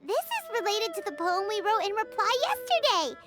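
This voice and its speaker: Squeaky, childlike little fairy companion. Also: female voice, big pitch swings, moderate pace. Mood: chirpy. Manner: refers to self in third person, exclamatory. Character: small excitable floating fairy companion, childlike and bubbly. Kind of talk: video game cutscene acting. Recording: music behind the voice.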